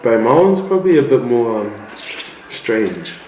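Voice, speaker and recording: A man's voice: mid-range voice, big pitch swings, measured pace, recorded from a distance, music in the background. Laid-back New man, off-mic. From New Zealand. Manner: laid-back, jokey, says bro a lot. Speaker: vlogger. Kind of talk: casual chat while eating. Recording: echoey room, a bit off-mic, faint hiss.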